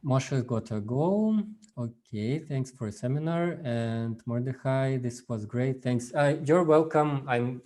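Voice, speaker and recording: A man whose first language is Russian gives an informal workshop over a video call, speaking haltingly with many fillers and restarts. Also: medium pitch, darkish tone. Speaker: workshop presenter. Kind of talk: informal online workshop talk.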